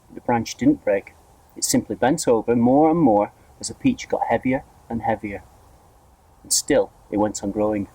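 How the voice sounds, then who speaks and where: A man reading a children's story aloud in an expressive narrating style. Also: medium pitch, normal speed, slightly rough, dry recording, poor audio. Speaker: storybook reader, school staff member.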